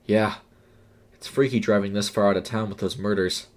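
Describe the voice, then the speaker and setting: A man voicing a blunt young female fast-food worker with an informal, sardonic manner in an audio story. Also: fairly low pitch, steady tone, natural voice. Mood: uneasy.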